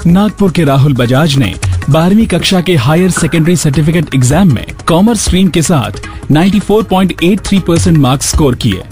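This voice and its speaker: Male Indian radio presenter, scripted delivery, highish pitch, very animated, over a music bed. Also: fairly quick. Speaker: radio show host. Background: background music.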